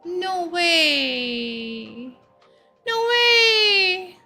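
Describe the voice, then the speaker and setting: A woman from India with an Indian accent, a VTuber in her thirties chatting casually with her viewers and firing off questions and loud exclamations. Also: very high voice, animated, drawn-out words, creaky. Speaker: VTuber streamer. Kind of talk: casual chat reading viewer messages. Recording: faint background music under voice.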